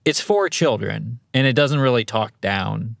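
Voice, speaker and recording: A man co-hosting a casual podcast, speaking in a laid-back, halting way. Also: mid-range voice, animated, creaky, dry recording.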